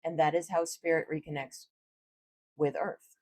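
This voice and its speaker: A woman with a low voice, a tarot reader gently explaining spiritual ideas in a casual, reflective talk to camera. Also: fairly even intonation, normal speed.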